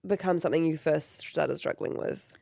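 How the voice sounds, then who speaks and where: A woman's voice: deep voice, normal speed, vocal fry, warm tone, no room sound. A woman hosting a casual podcast interview, sounding nasal from a chest infection, with a New Zealand accent, chatty and bubbly. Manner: bubbly, chatty, many "mm" backchannels. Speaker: podcast host.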